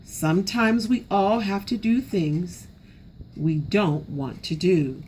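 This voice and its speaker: A woman reading a storybook, voicing a gentle, loving mother raccoon who reassures in a soothing manner. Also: medium pitch, slow, a little hiss or hum, natural voice. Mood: gentle, reassuring.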